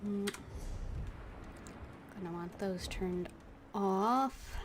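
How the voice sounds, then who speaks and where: A woman's voice: medium pitch, drawn-out words, a little hiss or hum. A woman gives terse, musing gameplay commentary in short fragments, as if noting things to herself. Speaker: streamer playing a fantasy role-playing game. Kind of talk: gameplay commentary, murmured notes to self. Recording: loud game music behind voice.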